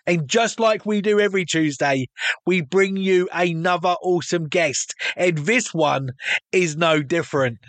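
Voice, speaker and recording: A man's voice: high-pitched, unhurried, a bit bright, dry recording. A man hosting a podcast, introducing his guest in an upbeat, welcoming way. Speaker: podcast host. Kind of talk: podcast interview.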